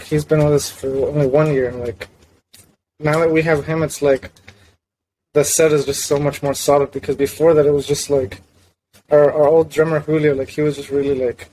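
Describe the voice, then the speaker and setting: A man's voice: fairly high pitch, level tone, moderate pace, dark tone, a little hiss or hum, poor audio. A young man from South Florida, a guitarist and singer, talking in a laid-back, hesitant way as a podcast guest. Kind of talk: casual interview chat.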